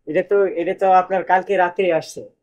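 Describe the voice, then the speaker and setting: Male shopkeeper, colloquial Bangladeshi accent, high pitch, spontaneous defensive replies with repetition. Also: fairly even intonation, fairly quick, a little mellow. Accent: colloquial Bangladeshi regional. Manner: defensive, repeating himself. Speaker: shopkeeper answering inspectors. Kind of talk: spontaneous explanation under questioning.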